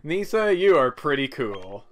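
A man's voice: fairly high pitch, big pitch swings, a little slow. A man giving casual, offhand video game commentary with wry asides. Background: game music.

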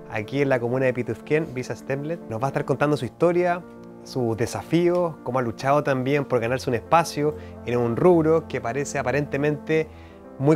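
A man in his thirties hosting an interview, quick and jokey, often tripping over his own words. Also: mid-range voice. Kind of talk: conversational interview. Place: open shed outdoors.

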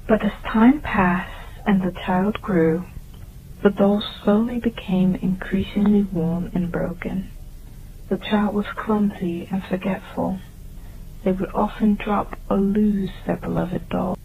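A woman narrating a dark children's tale as a storyteller, with a soft, breathy, half-whispered delivery. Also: mid-range voice, steady tone, slow. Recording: muffled, noisy old tape, phone-like band.

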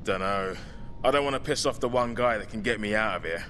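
An actor playing a wisecracking, streetwise British survivor in a zombie video game, flippant and slangy in manner. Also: male voice, lowish voice, fairly quick, bright, forceful tone. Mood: wry, insistent. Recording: faint background ambient noise.

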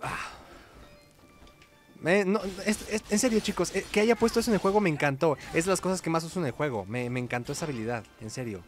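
A man's voice: on the high side, lively intonation, brisk. A man hosts a gameplay video in a casual, conversational way. He comments as he plays, with music behind his voice. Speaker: gaming video host.

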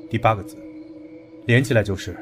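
An actor playing a calm, analytical young man in an audio drama, speaking in a measured, matter-of-fact way. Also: fairly low pitch, loud music behind the voice, lightly acted.

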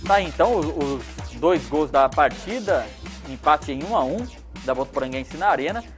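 A man's voice: a little high, moderate pace, faint music behind. Man in his thirties chatting about sport. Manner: lively, hesitant, with restarts. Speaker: sports reporter. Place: indoor TV studio.

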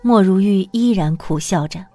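A woman narrating an audio drama in an even, measured storytelling voice. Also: medium pitch, no echo, faint music behind.

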